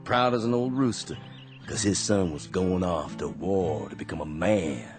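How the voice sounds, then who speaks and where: An actor playing a gentle, weary man back from war, talking softly in plain folksy words. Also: low-pitched, normal speed, soft background music, close to an everyday voice. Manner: soft-spoken, plain folksy talk. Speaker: actor in a radio drama. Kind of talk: radio drama acting.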